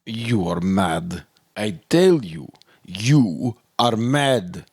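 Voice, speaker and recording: Radio-play villain, male actor: cornered chemist, desperate and stammering, slow delivery with big pitch swings. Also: big pitch swings, darkish tone, slightly rough, exaggerated voice. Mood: defiant. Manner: halting, protesting, stammering. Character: cornered murderous foreign chemist, desperately bluffing. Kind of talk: old-time radio detective drama acting.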